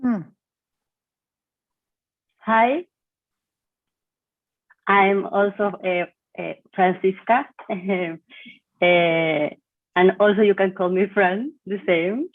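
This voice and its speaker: A woman speaks slowly and haltingly, restarting phrases as she searches for words. The call audio is thin and phone-like, with a dry, close sound. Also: medium pitch, no echo. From Chile. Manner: hesitant, many restarts and fillers. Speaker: engineer introducing herself. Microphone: video call.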